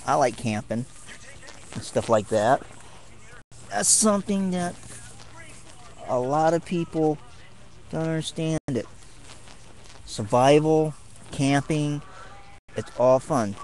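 Slow, halting young man in a tent. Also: on the high side, expressive, darkish tone, rough recording. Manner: halting, many ums and pauses. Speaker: martial arts vlogger. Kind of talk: rambling casual vlog. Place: tent outdoors while camping.

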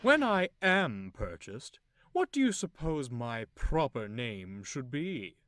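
A man voicing a pompous, boastful male tank engine who talks down to everyone, loud and grandiose. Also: medium pitch, very animated, slow pace, no echo, studio quality, pitched above the performer's own voice.

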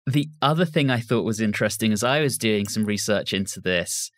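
A man's voice: fairly low pitch, lively intonation, a little fast, fairly bright tone, dry recording, studio quality. A man hosting a podcast, discussing an audio drama. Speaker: podcast host.

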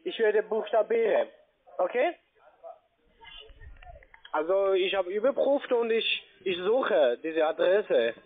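A man with a foreign accent speaking non-native German, giving a halting phone sales pitch as a call centre agent and often checking with short tag questions. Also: expressive. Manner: halting, broken grammar, checks with "ja?" and "ne?". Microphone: telephone. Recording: muffled narrow phone line.

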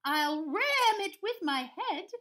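A woman's voice: very high-pitched, takes it slowly, bright, forceful tone, pitched above the performer's own voice, exaggerated voice. A woman voicing a cheeky woolly sheep from a storybook, singing out stretched words. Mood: cheeky sing-song. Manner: sing-song, stretched words.

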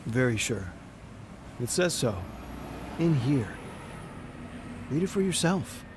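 An actor playing a kindly, assured street evangelist, reassuring and earnest, in an audio drama. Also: male voice, medium pitch, very animated, slow, noisy background.

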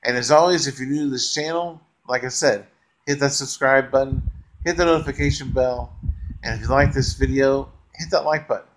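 Relaxed rambling middle-aged man reviewing movies. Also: mid-range voice, brisk, a little hiss or hum, rough recording. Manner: relaxed, rambling, frequent uh fillers, enthusiastic praise. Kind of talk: casual unscripted movie review. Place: bedroom, lying on a couch or bed, indoors. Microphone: webcam close to the face.

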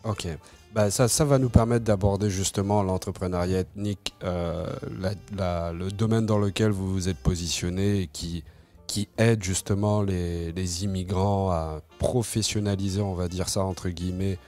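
A man hosting an interview, giving brief acknowledgments and measured questions. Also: deep voice, fairly even intonation, warm tone, faint music behind. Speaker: interview host.

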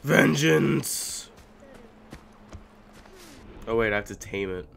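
A young man casually commentating a video game as he plays, chatty and joking, with sudden loud outbursts. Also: medium pitch, expressive, slow pace, gravelly. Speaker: gaming YouTuber. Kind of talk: casual let's-play commentary.

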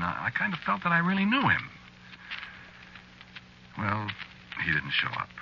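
An actor playing a troubled working man who tells his story in a halting, confiding, conversational way, in 1940s radio drama style. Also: sits low. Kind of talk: 1940s radio drama first-person narration. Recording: noisy, muffled old radio broadcast.

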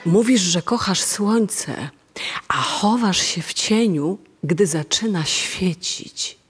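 Grown daughter, wounded and reproachful, very slow delivery, lowish voice, radio play. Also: female voice, expressive, breathy edge, heavily acted. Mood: hurt, reproachful. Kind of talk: staged radio drama reading.

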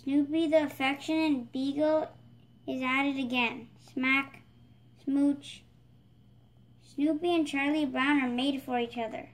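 A female voice with a high pitch reads a children's picture book aloud. She presents it as the host of a read-aloud channel. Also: fairly even intonation, a little slow. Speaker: storybook reader, channel host.

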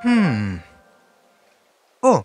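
A man voicing a helpful, pondering talking smartphone that teaches words deliberately in a children's cartoon. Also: on the high side, wildly swooping pitch, a little mellow, full character voice. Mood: thoughtful. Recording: music under the voice.

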